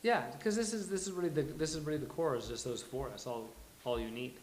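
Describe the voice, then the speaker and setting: A man presenting a live table read in a halting, informal way, as in casual chat. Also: fairly high pitch, sing-song, slight background noise. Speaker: table read presenter reading stage directions. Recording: echoey room.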